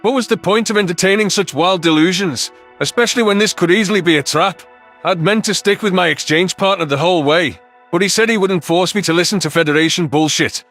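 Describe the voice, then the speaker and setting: Male audiobook narrator for sci-fi fiction, fairly high voice, music underneath. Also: fairly quick, fairly bright tone. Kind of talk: scripted sci-fi story narration in first person.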